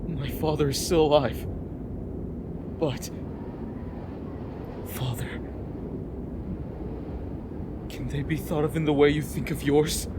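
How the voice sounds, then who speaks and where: A man playing a young, wary and determined gunfighter hero in a dramatic anime fandub, speaking in somber, deliberate, halting phrases. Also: fairly high pitch, fairly even intonation, noisy background, close to an everyday voice. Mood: somber, conflicted.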